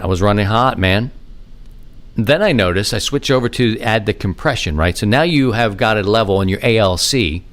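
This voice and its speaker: A man hosting a podcast, talking casually and informally in a rambling, self-deprecating monologue with animated asides. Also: medium pitch. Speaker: podcast host. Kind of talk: casual rambling hobby monologue on amateur radio.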